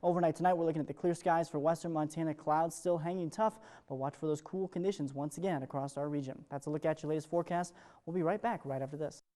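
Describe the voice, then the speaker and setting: A male television meteorologist delivers a morning forecast in upbeat, ad-libbed broadcast patter. He moves through it at a brisk clip. His tone is smooth-edged and mellow, without sharpness. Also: a little high, fairly even intonation. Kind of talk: live weather forecast presentation.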